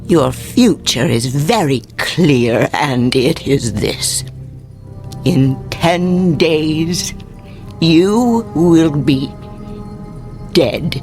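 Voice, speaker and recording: An actress playing a solemn, cryptic fortune teller in a radio drama, speaking in formal mystical pronouncements. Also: female voice, low-pitched, animated, very slow, darkish tone, soft background music, heavily acted. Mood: grave, ominous.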